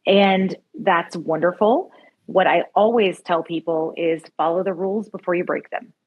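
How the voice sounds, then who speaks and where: A middle-aged woman speaks as a podcast guest, giving style advice in a casual way. Her voice sits a little lower than most women's. She is emphatic and backs up her points with short affirmations. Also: normal speed, narrow, tinny audio. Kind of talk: casual interview conversation.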